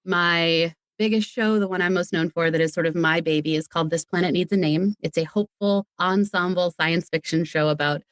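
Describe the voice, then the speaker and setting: A woman with a slightly low voice talks as a guest on a podcast. She is talkative and playful, keeping things conversational. The recording is dry, with hardly any room sound. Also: steady tone, fairly quick, few pauses, no room sound. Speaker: podcast guest, audio drama creator. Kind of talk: casual interview chat.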